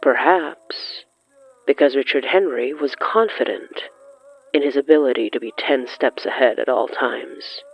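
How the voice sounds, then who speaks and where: A narrator reading scripted horror fiction in the third person, brooding and dramatic, with lingering pauses. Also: medium pitch, vocal fry. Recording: muffled lo-fi sound with music underneath. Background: music.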